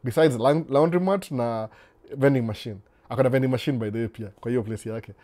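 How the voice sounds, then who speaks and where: A man talks in an easy, laid-back way on his live stream, reading out viewer comments and answering each one. His voice is mellow and warm at a middle pitch. He slides between Swahili and English without breaking stride. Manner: relaxed, unhurried, switches between Swahili and English mid-sentence; reads viewer comments aloud and responds to them. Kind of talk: casual live-stream chat.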